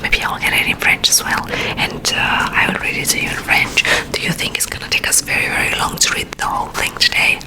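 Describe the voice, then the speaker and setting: A woman whispering close to the mic in an ASMR video, chatting in rambling asides and reading aloud. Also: a little fast. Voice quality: soft unvoiced whisper. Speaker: ASMR creator. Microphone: close to a sensitive mic.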